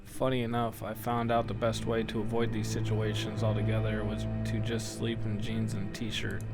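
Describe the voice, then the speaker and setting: A man's voice: lowish voice, close to an everyday voice. A man voicing a weary, resigned young son who narrates a horror game in the first person with dry wit. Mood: wry, resigned. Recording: guitar music behind the voice.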